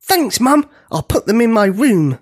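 Narrator acting a young giant boy, storybook character, boisterous, whooping, raised pitch, slightly husky. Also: huge pitch swings, moderate pace. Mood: gleeful. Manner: loud, whooping.

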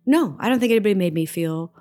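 A woman with a low speaking voice, an actress telling stories in an interview, chatty and animated. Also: fast. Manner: chatty, animated, frequent "you know what I mean". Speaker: Broadway actress, guest. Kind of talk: conversational storytelling interview.

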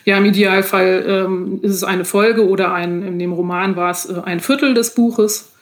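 A woman with a German accent speaks as an interview guest. Her pitch is average for a woman. She explains her craft as an author in an informal, conversational manner. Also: steady tone, moderate pace. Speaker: guest, author of novels and audio dramas.

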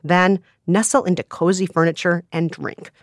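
A woman's voice: on the low side, steady tone, normal speed, no echo, studio quality. A woman co-hosting a podcast, chatting playfully and enthusiastically and reading steps aloud. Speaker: podcast co-host.

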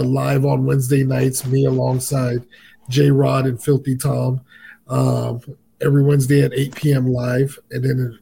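A man with a raspy voice, a co-host of another podcast, chatting casually with hesitant fillers. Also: medium pitch, mellow, a little hiss or hum, low-quality recording.

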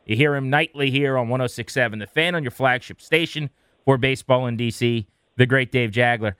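A man hosts sports radio in a bright, punchy voice of medium pitch. The sound is very dry, and he lays out analysis and questions in a conversational broadcast style. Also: brisk, smooth, no echo.

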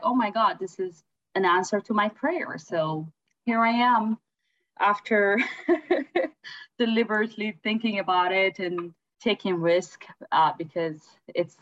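A woman from Ethiopia, a coach and podcast guest, speaking English, hesitant with many ums and soft laughs. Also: mid-range voice, lo-fi sound. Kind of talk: interview.

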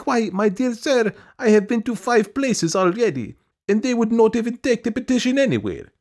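A man voicing a whining, stubborn middle-aged woman who pleads her case in a shrill, insistent wail from a storybook. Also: big pitch swings, darkish tone, exaggerated voice. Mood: pleading. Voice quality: shrill, piping. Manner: wailing, insistent, rapid complaining.

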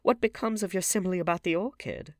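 A man voicing a quick-witted, teasing young-sounding duchess with light, refined banter in a novel reading. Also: sits high, no echo, clean studio sound, pitched above the performer's own voice, close to an everyday voice. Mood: playful challenge.

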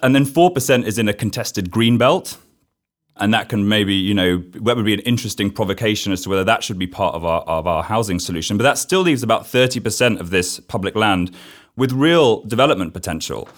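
A man's voice: fairly low pitch, lively intonation, a little fast. A man speaking analytically as a guest in a panel discussion.

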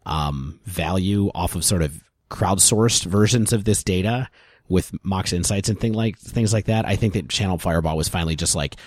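Deep-voiced man explaining tournament stats. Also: moderate pace, dry recording, studio quality. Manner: walks through data at length, thoughtful. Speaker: podcast co-host. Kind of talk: casual podcast discussion.

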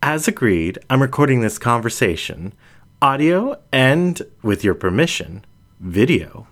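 A man's voice: medium pitch, sing-song, measured pace, a bit bright, voice pushed up. A man voicing a guarded, wry female fennec fox reporter in an audiobook, polite and professional. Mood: polite, businesslike. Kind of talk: audiobook character dialogue.

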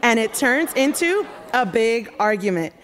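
A woman from the audience reading a written question aloud in a careful, measured way. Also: a little high, very animated, fairly bright tone, a little hiss or hum. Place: theater with live audience.

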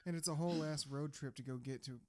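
A podcast host in loose conversation, blunt and teasing, dropping curse words freely and breaking into laughter often. The voice sits at an ordinary middle pitch. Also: quick pace, a little mellow, dry recording. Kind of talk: casual podcast chat.

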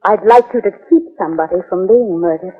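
A thin, phone-like vintage radio recording carries a hard-edged woman, played by an actress. She is secretive and commanding, with a husky voice in terse 1940s drama delivery. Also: mid-range voice, fast talker, lightly acted. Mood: urgent, guarded. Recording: tinny, band-limited 1940s radio recording.

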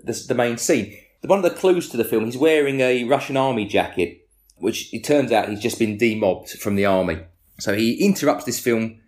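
A man, a podcast guest who talks through topics in a loose, rambling way with many restarts. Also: fairly low pitch. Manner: rambling, frequent restarts and fillers. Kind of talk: casual podcast discussion.

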